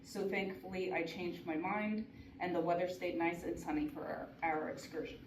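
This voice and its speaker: A woman's voice: lowish voice, fairly even intonation, normal speed. A woman giving a prepared, earnest talk at a conference, with small restarts. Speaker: conference speaker. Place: conference hall. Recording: echoey hall from far off, noisy.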